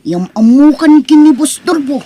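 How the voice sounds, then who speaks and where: A woman's voice: high-pitched, expressive, moderate pace, dark tone, no room sound. A woman acting a confident male friend who recruits and tests, in a persuasive radio-style drama manner. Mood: businesslike.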